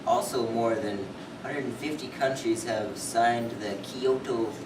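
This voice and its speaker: Heard from a distance through loud noise, a man plays a puppet show interviewee. His medium-pitched voice recites facts haltingly in an echoey room. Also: close to an everyday voice. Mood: halting, informative. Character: male puppet interviewee explaining environmental problems. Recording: very noisy, echoey, distant amateur recording.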